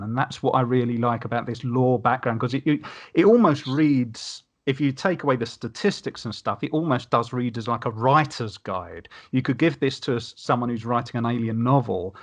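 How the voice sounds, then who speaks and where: Male podcast panelist, mid-range voice, casual, enthusiastic, long-winded explainer. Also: mid-range voice.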